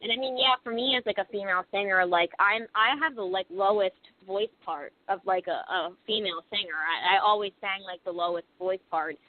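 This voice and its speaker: A woman's voice: mid-range voice, brisk, few pauses, dry recording. A young woman from Pennsylvania, a band singer guest on a casual radio interview, rambling with lots of "like" and "you know". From Pennsylvania, USA. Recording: lo-fi phone-like radio recording.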